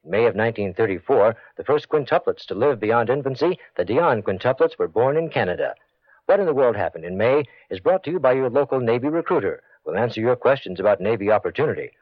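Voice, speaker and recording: Brisk male radio announcer, dry sound. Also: mid-range voice, lively intonation, dry recording. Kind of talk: scripted radio public-service spot. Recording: dry, muffled old radio spot.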